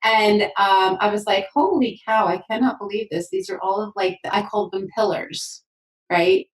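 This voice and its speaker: A woman's voice: medium pitch, expressive. A woman hosting a podcast, affirming her guest in an enthusiastic, conversational way. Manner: enthusiastic, affirming, fast bursts. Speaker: podcast host. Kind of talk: conversational interview. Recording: roomy sound from a distant mic.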